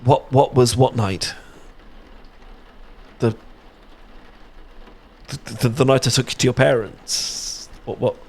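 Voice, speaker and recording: A British man acting a nervous, smitten young man in a romantic roleplay, stammering and halting earnestly as he talks. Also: mid-range voice. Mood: flustered, pleading. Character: nervous, smitten young man confessing to his best friend.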